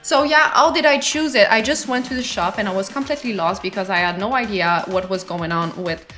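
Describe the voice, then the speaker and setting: A woman's voice: mid-range voice, brisk, pushed and bright, faint music behind. A woman in her twenties with a French Canadian accent, a native French speaker, chatting casually and unscripted as a vlogger answering questions. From Quebec, Canada. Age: 20s.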